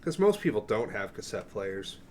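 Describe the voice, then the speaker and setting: A man's voice: fairly low pitch, very animated, normal speed, darkish tone, slight background noise. A man giving an offhand, rambling show-and-tell as a collector, swearing freely and often interrupting himself. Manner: offhand, profane, self-interrupting. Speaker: music collector presenting a cassette collection.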